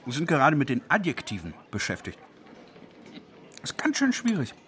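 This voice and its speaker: A pedantic, wildly animated zealot. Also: male voice, medium pitch, normal speed. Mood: pedantic passion. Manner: pedantic, gloatingly enthusiastic. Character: zealous, pedantic dictionary compiler relishing destruction, male. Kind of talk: radio play dialogue acting. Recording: faint background noise.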